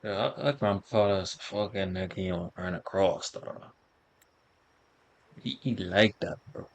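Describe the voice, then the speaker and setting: A man's voice: deep voice, a little slow, muffled recording. A man doing casual, slangy playthrough commentary on a game, swearing at times and sometimes reading text aloud. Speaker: gameplay streamer.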